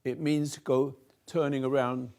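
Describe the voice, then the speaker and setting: An older man slowly giving a rambling spiritual talk to a room, halting and restarting, swinging between soft and loud. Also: medium pitch, little pitch movement. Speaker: spiritual teacher hosting a talk.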